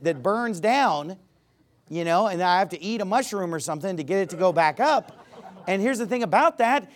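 A pastor delivering an emphatic, repetitive sermon, mocking at times. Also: male voice, high-pitched.

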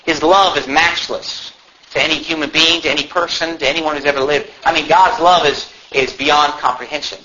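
A man's voice: on the high side, fairly even intonation, normal speed, pushed and bright. An American man preaching a sermon, speaking emphatically with rhetorical questions and repetition to drive points home. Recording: muffled lo-fi with faint background noise.

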